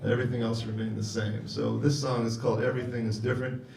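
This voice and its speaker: A man's voice: mid-range voice, quick pace, gravelly, far from the mic. A man in his seventies, a singer-songwriter joking with the audience between songs at a live concert. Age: 70s. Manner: relaxed, joking, talks to the audience. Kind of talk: chatty stage banter between songs. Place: music hall stage at a concert. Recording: roomy live venue with loud music. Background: guitar and live music.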